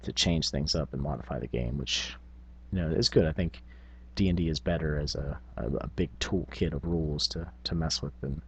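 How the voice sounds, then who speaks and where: A man's voice: extremely low pitch, normal speed, dull audio, a little hiss or hum. A man hosting a tabletop gaming vlog, talking casually in a rambling, self-effacing, unscripted way. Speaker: tabletop gaming video host.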